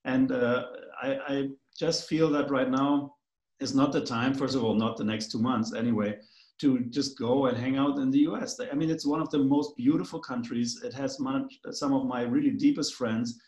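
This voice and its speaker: A man's voice: mid-range voice, moderate pace, a little mellow, reverberant. A man with a German accent, a guest in a casual conversation, talking in a rambling, hesitant way with many fillers.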